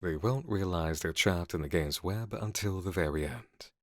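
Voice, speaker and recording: A man playing a calm, calculating game developer with measured, deliberate, articulate delivery in a scripted ASMR horror roleplay. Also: sits low, dry recording, studio quality. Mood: sinister, intent. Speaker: voice actor in an audio roleplay.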